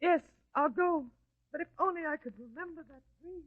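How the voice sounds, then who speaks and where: A man's voice: very high voice, moderate pace, no echo, pitched above the performer's own voice. A man voicing an anxious governor's wife who pleads with her husband about a troubling dream, in an old-time radio drama. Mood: pleading. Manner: pleading, fretful. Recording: dull lo-fi recording.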